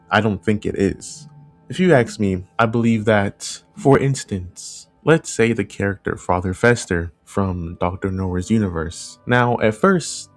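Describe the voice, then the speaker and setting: Man giving informal commentary over music. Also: fairly low pitch. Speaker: video essay host and analog horror creator. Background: background music.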